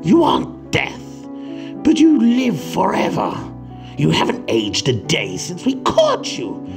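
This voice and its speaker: A man's voice: high-pitched, huge pitch swings, slow, creaky, exaggerated voice. A man playing a bitter, domineering old father in a comic-book drama, cursing and scornful. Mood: furious, bitter. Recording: music behind the voice.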